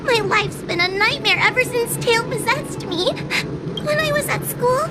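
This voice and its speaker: A woman's voice: very high voice, expressive, a little slow, heavy background noise. A woman playing a timid, tearful young exorcist girl in a video game, meek and hesitant. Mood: sad, apologetic. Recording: loud music behind the voice.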